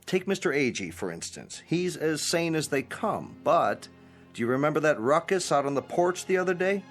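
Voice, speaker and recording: An actor playing a calm manager of an old folks' home in a radio drama, speaking in a patient, placating way. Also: male voice, a little high, normal speed, faint music behind. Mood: unruffled, explaining.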